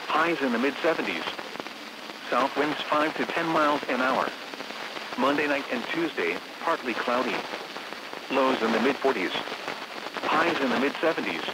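A synthetic man's voice with an American accent reads scripted weather forecasts as a radio announcer, measured, impersonal and formulaic. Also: mid-range voice, vocal fry. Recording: noisy weather radio broadcast reception.

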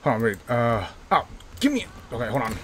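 A man's voice: medium pitch, big pitch swings, brisk, a bit bright, a little hiss or hum. Male let's-play host, casual and self-mocking, repeats phrases under pressure. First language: not English, by his own joke. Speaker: gaming YouTuber.

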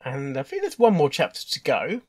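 A man hosting a reaction video with casual, informal commentary, halting and rambling as he thinks aloud. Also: on the high side, wildly swooping pitch. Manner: halting, repeats and rambles through thoughts. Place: indoors at a computer.

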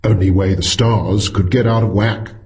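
A man's voice: lowish voice, steady tone, normal speed, a little mellow, lightly acted. A man voicing a blunt, adamant, plain-spoken man who scowls at experts, in an audiobook story. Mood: gruff, puzzled.

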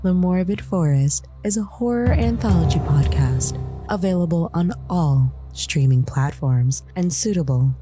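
A woman's voice: lowish voice, animated, over music. A woman playing an eerie, welcoming forest ranger in a theatrical horror podcast trailer. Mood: ominous welcome. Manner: theatrical, slow, inviting.

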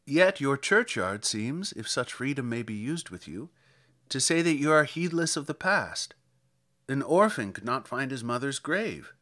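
A man's voice: mid-range voice, big pitch swings, a little slow, sharp tone. A man voicing a courteous, curious traveler in a dramatic verse reading, with a polite, inquiring manner. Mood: questioning. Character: courteous male stranger, a curious, questioning traveler.

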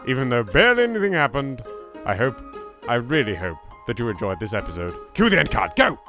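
A man's voice: medium pitch, very animated, fairly quick, music in the background. A man with an English accent giving hammy, bantering, mock-posh commentary as a gaming host. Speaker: let's play host.

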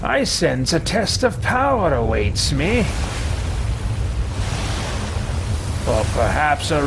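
A man's voice: mid-range voice, noisy background. A man voicing a pompous, haughty sorcerer who calls himself king, theatrical and archaic in a video game roleplay. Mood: grand, gloating. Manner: pompous, theatrical, archaic wording, slow and deliberate. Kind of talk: in-character roleplay video game let's play. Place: small home room with shelves, indoors.

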